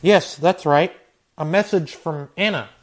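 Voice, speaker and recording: A cheery male pilot brother in a radio play, as a man acts him. His voice sits high for a man, breezy and chummy in delivery. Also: a little slow. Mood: helpful. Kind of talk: radio drama acting.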